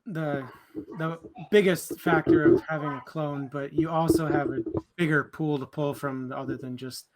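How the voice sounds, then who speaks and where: A man's voice: fairly high pitch, steady tone, moderate pace, crowd noise, rough recording. A man co-hosting a casual livestream book chat, talking conversationally and posing questions. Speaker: book discussion co-host.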